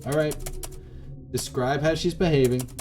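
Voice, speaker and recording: A man voicing a calm, pragmatic advisor on a phone call in a visual novel, measured and matter-of-fact. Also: fairly high pitch, over music, voice pushed up, close to an everyday voice. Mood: measured, reassuring.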